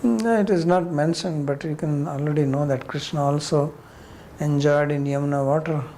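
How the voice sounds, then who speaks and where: A man's voice: a little high, fairly even intonation, moderate pace, mellow, slight background noise. A man with an Indian accent leading an informal class discussion as a spiritual teacher, answering questions bluntly and challengingly with rhetorical questions.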